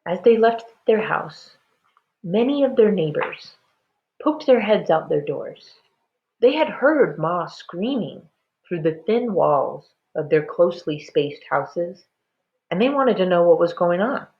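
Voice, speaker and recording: Animated woman storyteller with a creaky edge. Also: on the low side, muffled recording. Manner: animated, dramatic, deliberate. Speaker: read-aloud storyteller. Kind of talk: expressive children's storybook narration.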